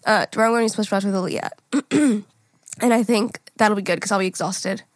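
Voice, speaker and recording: A young woman co-hosting a podcast, with a raspy morning voice, chatting casually, laughing often and swearing freely. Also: mid-range voice, fairly even intonation, normal speed, no room sound, studio quality. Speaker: podcast co-host. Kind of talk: casual chat.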